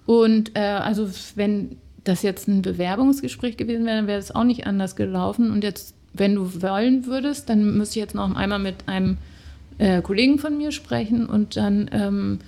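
A woman's voice: medium pitch, normal speed, warm tone. Woman from Germany, middle-aged, guest, hesitant speech, casual storytelling. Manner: hesitant storytelling, many fillers. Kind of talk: casual conversation.

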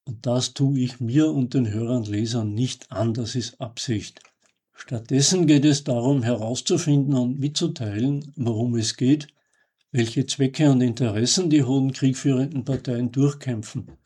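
A man reading a scripted commentary as a radio host, in a measured, formal and emphatic way. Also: medium pitch, mellow, breathy edge.

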